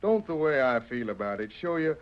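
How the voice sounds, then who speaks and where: An actor playing an earnest, indignant cowboy prisoner in a Western radio drama, speaking plain, emphatic frontier dialect. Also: male voice, medium pitch, sing-song, fairly quick, natural voice. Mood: earnest pleading. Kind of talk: 1930s-40s Western radio acting. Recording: muffled old radio recording.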